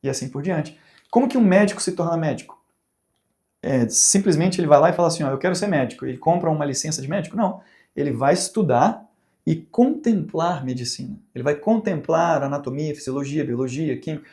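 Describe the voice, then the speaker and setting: Man, Brazilian Portuguese accent, course instructor, rhetorical questions, fairly high voice, warm tone. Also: a little fast. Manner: conversational, asks rhetorical questions, acts out examples. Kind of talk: recorded teaching talk.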